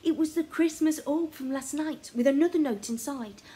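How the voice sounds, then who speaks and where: A young woman reads a children's story in an expressive, theatrical way, often dropping to a hush, recorded in a small room at home. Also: sits high, normal speed. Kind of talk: animated children's story reading. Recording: noticeable background noise, home recording.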